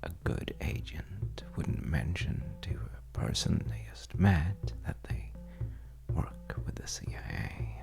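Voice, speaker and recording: A man playing a charming, shifty stranger at a bar in an intimate audio roleplay, telling wry, teasing tales in a hesitant, gravelly way. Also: lively intonation, takes it slowly, in a whisper, music in the background, heavily acted. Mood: coy, teasing. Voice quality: very deep, gravelly, breathy at times. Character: well-dressed, untrustworthy charming male stranger at a bar, bragging playfully.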